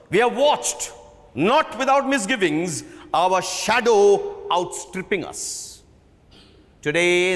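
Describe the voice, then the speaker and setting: A man performs a commanding, uncompromising theatre director of middle age. His delivery is slow and oratorical, with wide swings of pitch, ringing through a long hall reverb. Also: fairly bright tone, full character voice. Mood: solemn, exhorting. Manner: deliberate, emphatic, oratorical. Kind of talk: dramatic book reading.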